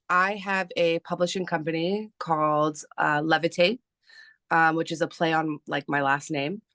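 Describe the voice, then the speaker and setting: Woman in her thirties, from Canada, native Spanish speaker; low-ish voice, unhurried, lots of ums, dry close sound. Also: a bit bright, no echo. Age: 30s. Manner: slow, hesitant, many fillers. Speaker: guest, artist and publisher. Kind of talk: casual interview talk.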